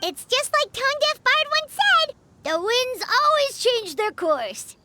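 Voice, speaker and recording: A woman voicing a small, cheerful, childlike fairy companion in a video game, squeaky and eager. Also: big pitch swings, slow pace, very noisy, heavily acted. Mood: delighted. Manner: chirpy, eager. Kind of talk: video game character voice acting. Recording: music behind the voice. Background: loud tinkly game music.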